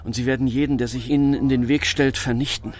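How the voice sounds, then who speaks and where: An actor playing an anxious, urgent go-between in a German radio drama, speaking in a pressing, insistent way. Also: male voice, mid-range voice, level tone, quick pace, natural voice. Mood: urgent, warning. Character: anxious, urgent male go-between warning of danger.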